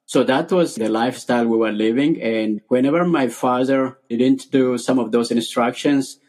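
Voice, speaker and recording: A man's voice: mid-range voice, normal speed, darkish tone. A middle-aged man from Ethiopia, a podcast guest earnestly telling his life story in short, repeated phrases.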